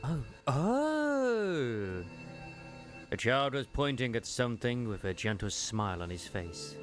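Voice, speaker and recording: Low-voiced man narrating over music. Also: fairly bright tone. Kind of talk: dramatic scripted story narration, fan dub.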